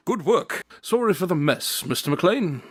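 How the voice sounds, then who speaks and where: A man acting a confident, formally polite gentleman detective in an audio drama, with an emphatic delivery. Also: high-pitched, sing-song, unhurried. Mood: confident, brisk. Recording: noisy audio drama scene with siren.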